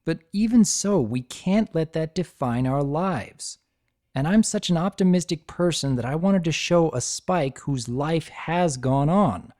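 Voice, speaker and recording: A man's voice: a little high, expressive, a little slow, darkish tone. A man hosting a story reading, delivering scripted commentary in an earnest, emphatic manner. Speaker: fan fiction reader and channel host.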